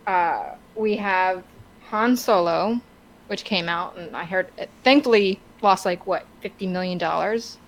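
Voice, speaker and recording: Halting woman podcaster over background noise. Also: fairly low pitch, rough recording. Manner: halting, stammering, searching for words. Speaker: podcast co-host. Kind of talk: casual opinionated discussion.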